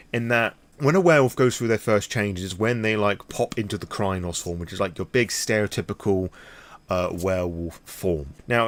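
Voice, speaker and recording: A British man speaks in a fairly low voice as the host of an impromptu vlog. He explains things casually and at length, with a conversational, frank manner and a loose, wordy way of building his sentences. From Britain. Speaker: host of a lore channel.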